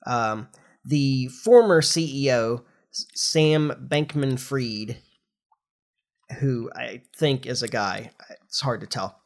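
A man's voice: mid-range voice, slow. A middle-aged man with a nasal, congested voice from a recent flu, chatting casually as a podcast host. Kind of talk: casual chat, explaining news informally.

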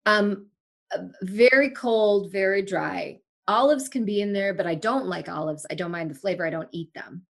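A woman's voice: medium pitch, normal speed, gravelly. A woman from Sri Lanka in her forties, a longtime broadcaster, talking candidly and emphatically as a guest in a remote interview. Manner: emphatic, candid, animated storyteller. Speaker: broadcaster and podcast host, here the guest. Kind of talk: casual interview chat. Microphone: remote call.

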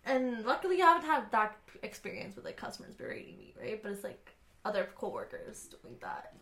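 A young woman co-hosting a podcast, telling personal stories casually in short, halting bursts. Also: on the high side, animated, a bit bright, echoey room. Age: young adult. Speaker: podcast co-host. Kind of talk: casual chat, personal anecdotes.

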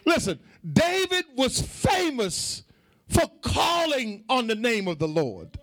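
Male preacher, very high voice, slow emphatic delivery with repeated call-and-response lines, swelling toward half-sung shouts. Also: very animated. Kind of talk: fervent sermon to a congregation.

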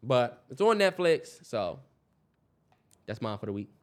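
A man's voice: medium pitch, sing-song, unhurried. An American man co-hosting a podcast, talking casually in informal slang. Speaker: podcast co-host. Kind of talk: casual chat.

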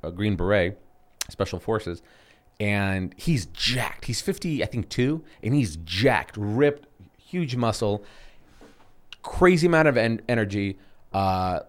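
A man in his thirties talks in a relaxed, meandering way, circling back and restarting his thoughts with lots of fillers. His voice sits on the low side. He sounds like a casual podcast co-host. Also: slow. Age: 30s. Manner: rambling, many restarts and fillers. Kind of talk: casual chat.

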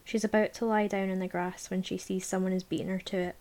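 A woman narrating a novel aloud in a measured, sometimes hushed voice. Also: medium pitch, flat delivery. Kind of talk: audiobook narration, present tense.

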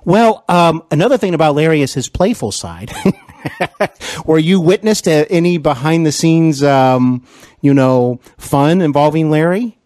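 Male podcast host, fairly high voice, dry recording; gushing, courteous and hesitant in conversation. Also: animated, unhurried, darkish tone, no echo. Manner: effusive, deferential, hesitant phrasing. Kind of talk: conversational interview.

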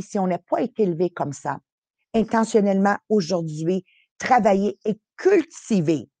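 A woman's voice: medium pitch, mellow, no echo. A woman with a Quebec French accent, giving a live motivational podcast talk in a heartfelt, emphatic way, repeating key phrases. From born in Italy. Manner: slips English phrases into French. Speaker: podcast host and motivational speaker.

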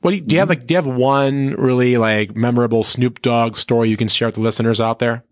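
A man's voice: medium pitch, fast talker, no echo, studio quality. A man hosting a casual radio interview in an enthusiastic, affirming manner. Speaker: interview host.

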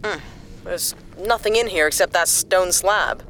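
A dry, sceptical space adventurer. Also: female voice, fairly low pitch, a little slow, fairly bright tone, slight background noise, rough recording. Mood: dry, practical. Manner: dry, blunt, questioning. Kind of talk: sci-fi audio drama acting.

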